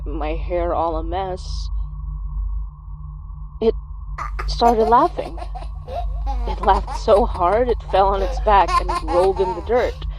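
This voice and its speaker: Wry young woman telling her scary childhood story, lowish voice, bit of hiss. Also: rough recording. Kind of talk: first-person horror storytelling in audio drama.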